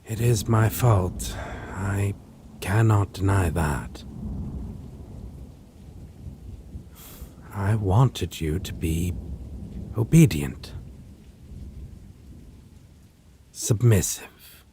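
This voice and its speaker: Male actor for brooding vampire, audio roleplay: deep, breathy, theatrical, possessive, ornate delivery near a whisper, over faint rain and thunder. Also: warm tone, slight background noise. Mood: regretful, brooding. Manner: formal, theatrical, ornate wording, lingering pauses. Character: possessive male vampire, menacing, wistful, aristocratic. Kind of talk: dramatic audio roleplay monologue to a listener.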